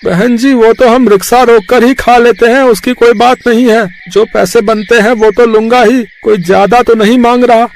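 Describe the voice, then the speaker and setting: A man's voice: very high-pitched, steady tone, normal speed, few pauses, a little hiss or hum. A man playing an impatient rickshaw driver in a moral story, complaining and insistent.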